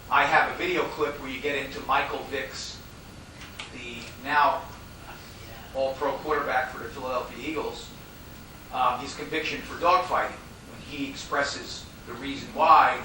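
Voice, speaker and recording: A man's voice: mid-range voice, moderate pace, pushed and bright. An American man giving an informal classroom lecture, talking in an emphatic, conversational way. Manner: emphatic, conversational, acts out quoted dialogue. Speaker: law lecturer teaching a class. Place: classroom, recorded from a distance. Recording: echoey room, distant mic, faint noise.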